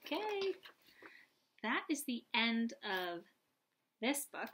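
Slow-paced young woman reading to children. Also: on the high side, big pitch swings, fairly bright tone, a little hiss or hum. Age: young adult. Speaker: teacher reading aloud to second graders. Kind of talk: children's read-aloud and lesson. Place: small lamp-lit room at home, indoors.

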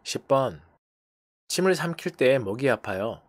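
A teacher giving a language lesson, briskly prompting numbered phrases in turn. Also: mid-range voice, expressive, no room sound, clean studio sound. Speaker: English teacher.

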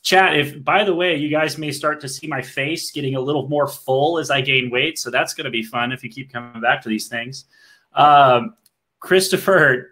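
A man's voice: fairly high pitch, fairly bright tone. A man in his thirties hosting a live swim clinic stream from home on a headset, loose and chatty, talking to his viewers. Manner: chatty, loose, with fillers, addresses the chat. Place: home room, video call.

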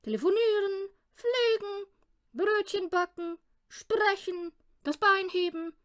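Female reader as small pompous male conjurer, storybook; high-pitched, grandiose, drawn-out delivery, very animated. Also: heavily acted. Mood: showy, boastful. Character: pompous, self-satisfied little male magician showman.

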